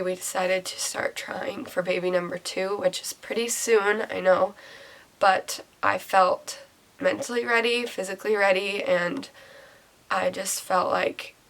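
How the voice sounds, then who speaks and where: A young woman vlogger getting over a cold, her voice nasal and raspy, speaking emotionally and haltingly as if close to tears. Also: medium pitch, low-quality recording. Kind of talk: personal confessional vlog.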